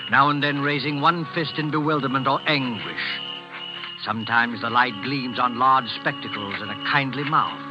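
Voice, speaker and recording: A man narrating a mystery radio drama in a deliberate, atmospheric storytelling style. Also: medium pitch, lightly acted. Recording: dull old radio recording. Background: music.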